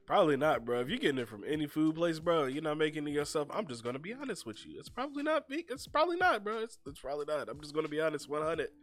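A young man with a stuffy, sniffling nose hosts a casual podcast, talking in a laid-back, rambling way that keeps restarting and repeating itself. His voice sits a bit high for a man, and faint music plays behind him. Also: sing-song, fast talker, few pauses, fairly bright tone. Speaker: podcast host. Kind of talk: casual chat.

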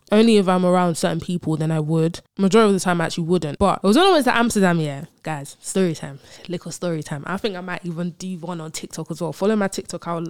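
Late-twenties woman, casual podcast host and storyteller. Lower-pitched voice, dry close sound. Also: darkish tone, dry recording. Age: 20s. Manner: chatty, storytelling, slangy. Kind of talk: casual solo podcast chat.

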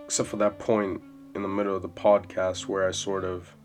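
A man in his twenties hosting a solo podcast, rambling casually and swearing freely in a loud voice with bass. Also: level tone, normal speed. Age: 20s. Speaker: podcast host. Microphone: podcast mic. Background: soft jazz music.

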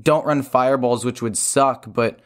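A man with a sore, hoarse throat hosting a gaming show, explaining his opinions in casual conversation. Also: mid-range voice, fairly even intonation, normal speed. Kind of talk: casual chat about a card game.

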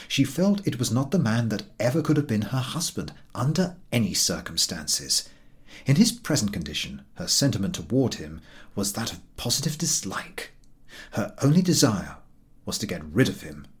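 A male narrator reads humorous fiction with theatrical care. His pitch is very lively, rising and dipping widely through measured phrases. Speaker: audiobook reader. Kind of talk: scripted literary narration of a humorous story.

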